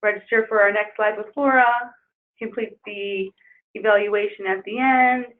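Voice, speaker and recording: A woman trainer losing her voice, leading an upbeat software webinar walkthrough. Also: medium pitch, steady tone, moderate pace. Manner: upbeat, enthusiastic promoting. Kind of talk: webinar screen-share walkthrough. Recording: tinny phone-like webinar audio.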